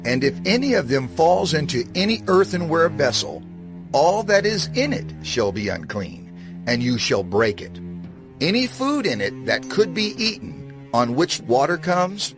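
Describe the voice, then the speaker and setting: A man reading scripture in a solemn, measured, declarative way, as dramatized narration. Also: mid-range voice. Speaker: scripture reader. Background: background music.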